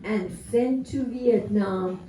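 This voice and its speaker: A man's voice: high voice, slow. A man in his seventies, from the United States, reading a prepared introduction of a speaker with dry humor at a community gathering. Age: 70s. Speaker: event host introducing a speaker. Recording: roomy, distant mic with faint noise.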